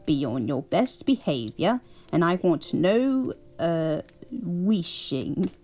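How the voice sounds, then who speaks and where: A pompous, portly railway boss, put on by a woman booms his announcements in a lowered, husky voice. He speaks slowly and grandly, with an English lilt. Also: big pitch swings, mellow, faint music behind, heavily acted.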